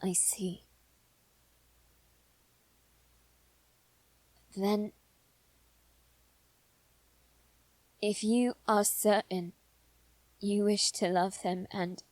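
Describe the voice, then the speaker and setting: A woman voicing a haughty but protective young nobleman boy in a scripted roleplay addressed to the listener, speaking hesitantly and gravely, often repeating the start of a phrase. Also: medium pitch, a little mellow, no echo, lightly acted, low-quality recording. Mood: reluctant, resigned.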